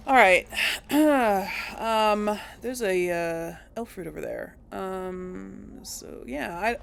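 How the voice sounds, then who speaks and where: A woman's voice: medium pitch, drawn-out words, gravelly, slight background noise. A woman hosting a casual gaming channel, thinking aloud and laughing as she plays. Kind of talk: casual gameplay commentary.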